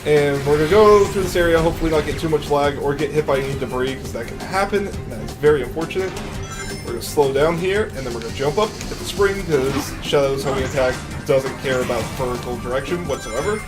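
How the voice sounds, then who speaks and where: Deep voiced guy chatting thru his speedrun, game music going loud behind. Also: fast. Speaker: speedrunner commentating his own run. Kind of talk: live speedrun commentary.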